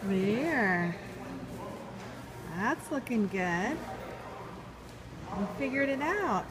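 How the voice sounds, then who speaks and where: Woman coaxing a toddler, lively voice. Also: fairly high pitch, extremely slow. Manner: gentle, coaxing, simple short phrases. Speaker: parent filming a toddler. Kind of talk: child-directed talk. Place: indoor mall play area. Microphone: phone camera held by the off-screen speaker. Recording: loud ambient mall noise.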